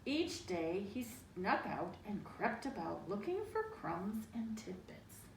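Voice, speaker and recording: A woman's voice: mid-range voice, sing-song, slow, vocal fry. A woman reading a children's picture book aloud, with dramatic emphasis and little asides to young listeners. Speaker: storybook reader. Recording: roomy, distant mic, faint noise.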